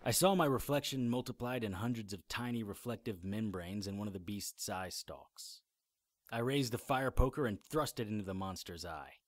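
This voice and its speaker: An actor playing a grim hero who narrates his battles in measured first-person radio drama storytelling. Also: lowish voice, natural voice. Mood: grim, tense.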